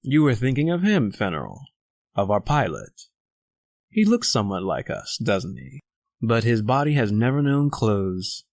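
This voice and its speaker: A close, dry male voice of middle pitch, a man acting a cold and calculating doctor turned killer. He speaks formally and deliberately, in commanding old-time radio horror style. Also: big pitch swings, creaky, a little mellow, dry recording. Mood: cold, superior. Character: cold, calculating educated murderer doctor, leader of escaped convicts.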